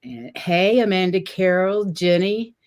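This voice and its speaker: A woman hosting a relaxed online chat show. Her voice sits low and she takes her time, easing along with fillers like "um" and gentle laughter between phrases. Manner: slow, chatty, with frequent fillers and soft laughs. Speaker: host. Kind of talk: casual livestream chat.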